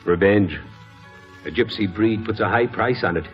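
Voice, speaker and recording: An actor playing a haughty, stern gentleman husband in a pompous old radio drama style. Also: fairly low pitch, normal speed, close to an everyday voice. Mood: bitter, stern. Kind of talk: 1940s radio drama acting. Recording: dull old radio broadcast, music behind.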